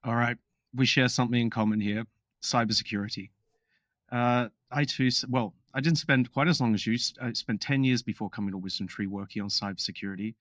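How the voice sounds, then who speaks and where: A man's voice: mid-range voice, a bit bright, no room sound. A man hosting a podcast in a casual, upbeat way, with joking asides. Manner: upbeat, jokey, quick asides. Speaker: podcast host. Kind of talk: casual podcast chat with read disclaimer.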